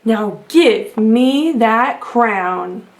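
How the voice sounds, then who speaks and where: Woman playing an enormous storybook giant, high-pitched. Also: expressive, drawn-out words. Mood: boastful bullying. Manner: loud, blustering. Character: enormous male giant, boastful bully, cowed by his mother.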